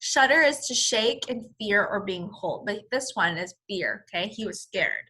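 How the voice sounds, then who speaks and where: A woman reading a children's story aloud as a teacher, in an expressive, explanatory manner. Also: medium pitch, a little slow, slightly rough. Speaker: first-grade teacher.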